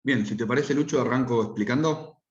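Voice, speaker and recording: A man's voice: mid-range voice, brisk, roomy sound, lo-fi sound, a little hiss or hum. A man with an Argentine accent starting an online class in an orderly, formal way. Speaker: course instructor.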